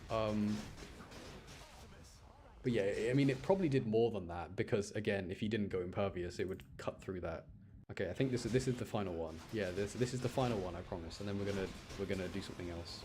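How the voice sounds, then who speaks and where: A man giving casual gameplay commentary in an excitable way, repeating phrases for emphasis as he shows clips. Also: on the low side, fast, background noise. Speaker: gamer showing gameplay clips.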